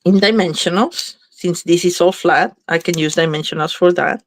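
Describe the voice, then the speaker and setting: A woman's voice: on the low side, normal speed, slight background noise. A slightly congested woman chatting casually as she demonstrates card making, thinking aloud while she works. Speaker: craft demonstrator. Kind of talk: live crafting demo chat.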